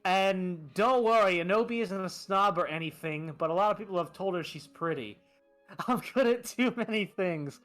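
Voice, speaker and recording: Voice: medium pitch, normal speed, a bit bright, soft background music. A theatrical, amused reader giving a comedic reading of fan fiction, often breaking into laughter and dropping in asides.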